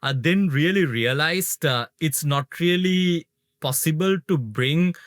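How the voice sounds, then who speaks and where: A man's voice: fairly high pitch, takes it slowly, fairly bright tone, no room sound, studio quality. A man from Sri Lanka, a startup founder interviewed as a guest, who talks casually and keeps adding "right?" and "like, you know".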